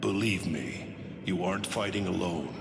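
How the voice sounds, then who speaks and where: Deep, gravelly, solemn spirit guardian. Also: male voice, moderate pace. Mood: grave, devoted. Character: solemn ancient male sword spirit, devoted guardian. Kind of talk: anime video game voice acting. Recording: echoing reverb, ambient noise behind.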